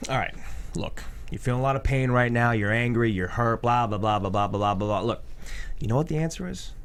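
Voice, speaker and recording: A man's voice: mid-range voice, a little fast, clear, resonant tone, natural voice. A man in his late twenties reading a brash, blunt, womanizing young guy in a sitcom table read. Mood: blunt, pushy. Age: late 20s.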